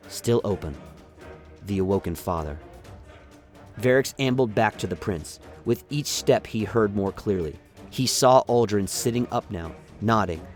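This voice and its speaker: A man's voice: on the low side, a little mellow. A man reading scripted, dramatic story narration as the narrator of a radio play.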